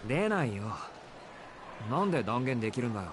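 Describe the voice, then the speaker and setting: A man playing a serious, troubled high school boy in a Japanese visual novel, speaking earnestly and insistently. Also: sing-song, very fast, noisy background, close to an everyday voice. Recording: game audio under loud background music. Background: music.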